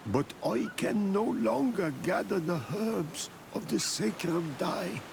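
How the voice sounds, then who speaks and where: An actor playing a despairing, proud blind herb gatherer in a video game cutscene, speaking in a halting, solemn way. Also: male voice, sits high, gravelly, a little mellow, slight background noise, heavily acted. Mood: mournful.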